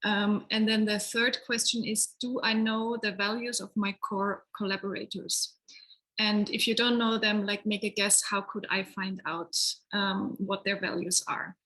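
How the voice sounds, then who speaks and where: A woman facilitating an online workshop over a video call, giving gentle instructions with many hesitations. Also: medium pitch, level tone, a little slow, poor audio. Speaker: session facilitator. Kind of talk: guiding a workshop exercise.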